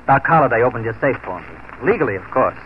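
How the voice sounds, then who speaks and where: Cold vengeful western marshal, a man plays him, firm and clipped, middle pitch, muffled old radio sound. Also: animated, quick pace, a little hiss or hum, close to an everyday voice. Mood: accusing. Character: grim vengeful frontier marshal, steely. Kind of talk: 1940s radio acting.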